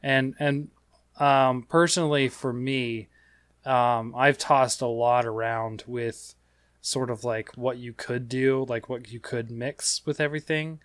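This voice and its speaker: A man hosting a casual gaming podcast, talking in a rambling, halting way. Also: medium pitch, fairly even intonation, no echo, clean studio sound. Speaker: podcast host.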